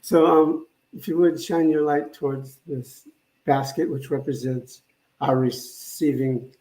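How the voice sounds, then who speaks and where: A man's voice: fairly high pitch, steady tone, a little slow, warm tone, low-quality recording. A man speaking as a minister, informally leading a spiritual service and its affirmative prayer.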